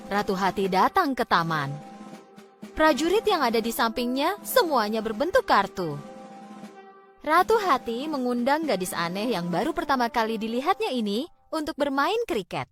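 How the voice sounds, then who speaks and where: A woman with a fairly high voice tells a children's fairy tale. Her phrasing is deliberate and expressive, with lively rises and falls, and music plays underneath. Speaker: storyteller. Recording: background music.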